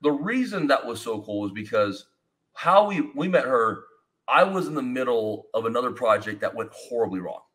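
A man's voice: medium pitch, moderate pace. A man in his thirties hosting a casual podcast from his home studio, talking energetically and rambling through stories over a video call. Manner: energetic, rambling, expressive hand gestures. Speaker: podcast host. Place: home studio with foam-panel wall, indoors.

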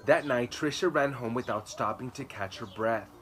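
A man in his thirties reads a children's book aloud at a middle pitch. He tells it expressively and leans on key words for drama. It is recorded outdoors in a garden, with birds and ambient noise behind him. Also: fairly bright tone. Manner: dramatic, with slow emphatic words and repeats. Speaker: children's storytime reader and host. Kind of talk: expressive read-aloud storytelling.

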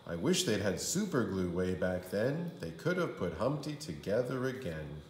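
A man's voice: on the low side, big pitch swings, moderate pace, slight background noise. A man reading a children's storybook aloud like a kindergarten teacher, with theatrical, sing-song delivery. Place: indoor room. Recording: roomy indoor echo.

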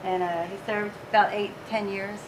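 A woman's voice: mid-range voice, level tone, normal speed. Middle-aged woman in a noisy room. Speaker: restaurant owner being interviewed. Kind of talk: casual on-camera interview. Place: indoors in a restaurant dining room. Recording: noisy room, clear ambient noise.

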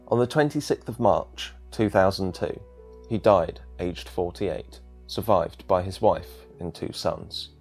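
A man's voice: deep voice, a little slow, a little mellow, faint music behind. A man narrating a scripted video essay as its presenter.

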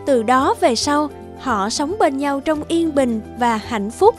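High-voiced woman storyteller. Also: expressive, slow pace, soft background music. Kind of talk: scripted fairy-tale narration for children.

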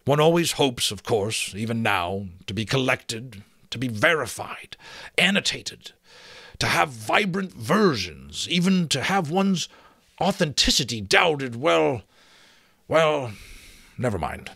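A man's voice: mid-range voice, big pitch swings, takes it slowly, vocal fry, bright, forceful tone, dry recording, studio quality, voice pushed up, heavily acted. A man voicing a vain, wistful male outlaw captain in a storybook reading, boastful and sighing by turns.

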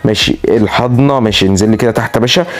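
A young man with an Egyptian accent, tired from no sleep, giving excitable, dramatic casual commentary as a gaming YouTuber showing off a game account. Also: fairly low pitch, rapid-fire. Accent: Egyptian Arabic. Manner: excitable, dramatic outbursts, playful threats.

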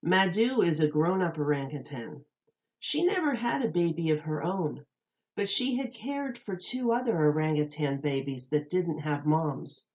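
A woman teacher reading a children's nonfiction book aloud, enunciating carefully and deliberately for young listeners. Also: deep voice, sing-song, a little mellow, dull audio.

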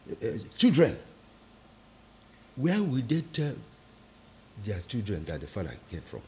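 A man's voice: fairly low pitch, huge pitch swings, a little slow, mellow. A man playing someone who summons a spirit and questions her, pleading and insistent.

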